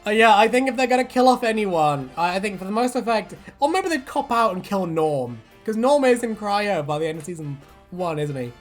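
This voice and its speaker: A woman's voice: mid-range voice, very animated, brisk, soft background music. A woman co-hosting a video, chatting casually as a fan in a jokey, giggly, sweary way. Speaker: video co-host. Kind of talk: casual fan chat and commentary.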